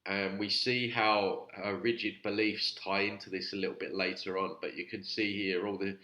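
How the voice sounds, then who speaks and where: A man with a cold gives loose, rambling commentary full of fillers, his voice audibly nasal. His tone is bright and forceful over a low pitch. The room adds a noticeable echo. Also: flat delivery, moderate pace. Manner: chatty, rambling, with many fillers. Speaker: YouTube channel host reviewing videos. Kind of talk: casual vlog commentary.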